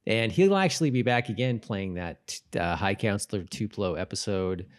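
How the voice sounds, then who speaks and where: A man co-hosting a casual rewatch podcast, talking informally and haltingly as he corrects himself. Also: on the low side. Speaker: podcast co-host.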